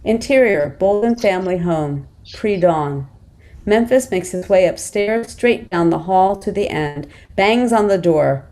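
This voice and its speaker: A woman's voice: medium pitch, lively intonation, a little slow, warm tone. A woman reading screenplay stage directions as scripted narration for a table read.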